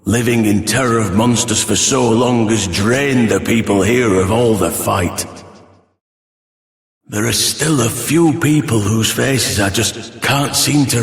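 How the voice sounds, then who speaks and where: An actor playing a resolute, wistful veteran warrior who has lost his memory, speaking in a solemn, measured way for a video game. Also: lowish voice. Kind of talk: scripted video game character monologue. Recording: echoey reverberant hall effect.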